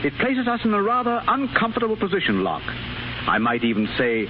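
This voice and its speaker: A man's voice: high voice, wildly swooping pitch, fairly quick, noisy background. An actor playing a grave, fatherly older British ambassador in a 1930s radio drama, hesitant and a little pompous. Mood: flustered, grave. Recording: muffled 1930s radio broadcast, music behind.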